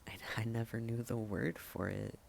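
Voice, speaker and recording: A man performing spoken-word slam poetry in a halting manner, with dramatic pauses, repeated phrases and hushed endings. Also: mid-range voice, steady tone, vocal fry, a little hiss or hum. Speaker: slam poet.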